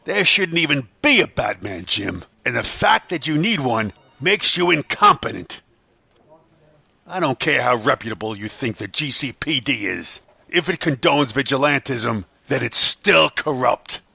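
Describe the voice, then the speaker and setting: Guy doing scornful angry mayor, raspy and sharp, lively delivery, comic fan dub, dry sound. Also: mid-range voice, a little slow, no echo, full character voice. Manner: forceful, berating. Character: irate, scornful male city mayor. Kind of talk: superhero fan dub drama.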